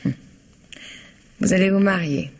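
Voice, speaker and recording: A worried, guarded wealthy wife. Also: female voice, on the low side, unhurried. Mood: curt. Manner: haughty and sharp with her maid. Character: anxious wealthy wife of a vanished man. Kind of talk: vintage French radio drama acting.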